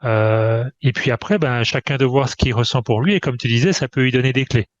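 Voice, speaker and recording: A man's voice: medium pitch, brisk, muffled recording. A man hosting a video chat, speaking in a hesitant way, with frequent fillers and restarts. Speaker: host. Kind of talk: casual video call conversation.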